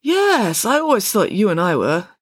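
A woman voicing an awkward, sheepish schoolboy with a hesitant, halting manner in a storybook reading. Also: medium pitch, huge pitch swings, breathy edge, dry recording, clean studio sound, voice pushed up. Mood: shyly hopeful. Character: awkward, sheepish schoolboy, hopeful and easily deflated.

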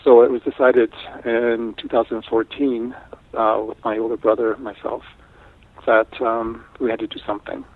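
A middle-aged man from Canada, Italian as his first language, talking in a thoughtful, unhurried way with frequent restarts in an interview. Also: mid-range voice, flat delivery. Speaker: guest artist. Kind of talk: casual interview talk. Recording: tinny phone line.

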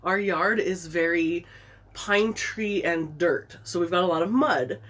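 Brief: woman co-host with a head cold, husky, animated storyteller. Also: on the low side, measured pace. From Pacific Northwest. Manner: animated storytelling, laughing often. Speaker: podcast co-host. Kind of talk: casual chat.

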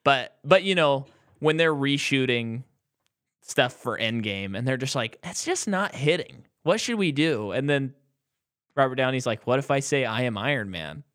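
A man's voice: medium pitch, expressive, moderate pace, a bit bright. A man co-hosting a podcast, chatting casually about a movie and acting out quotes with animation. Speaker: podcast co-host.

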